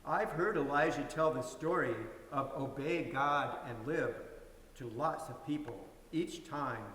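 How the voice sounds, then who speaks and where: A man's voice: a little high, unhurried, a bit bright, distant mic. A man playing storybook parts in a plain, earnest dramatic reading. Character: storybook narrator sharing parts of boy and carver. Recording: echoey hall from afar, faint hiss.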